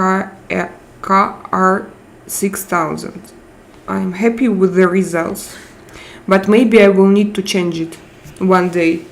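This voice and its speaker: A woman with a Russian accent chatting casually on a live stream, reading out viewer comments and hunting for English words with frequent pauses. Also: medium pitch, a little mellow. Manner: slow, searching for English words with frequent hesitations.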